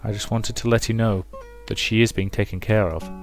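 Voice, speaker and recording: A man voicing a reserved, consoling gentleman friend in a visual novel, speaking gently and haltingly. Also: on the low side, steady tone, natural voice. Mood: gentle, concerned. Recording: music behind the voice.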